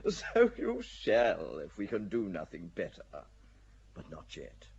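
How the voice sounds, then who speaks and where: An actor playing a cool, cynical English nobleman in a radio drama, speaking in a languid, wry, unhurried way. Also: low voice, huge pitch swings, creaky, a little mellow, dull audio, slight background noise, exaggerated voice. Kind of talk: classic radio drama acting.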